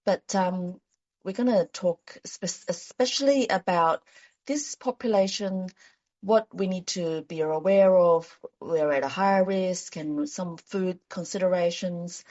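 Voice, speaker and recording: Mellow-voiced woman hosting a health podcast. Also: fairly low pitch, measured pace, muffled recording. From China. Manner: lively scripted intro, then relaxed chatty questions. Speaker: podcast host, GP. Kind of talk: podcast interview.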